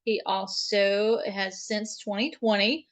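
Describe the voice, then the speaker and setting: A woman's voice: mid-range voice, steady tone, takes it slowly. A woman co-hosting a casual livestream, chatty and informal, reading out introductions with playful asides. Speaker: co-host.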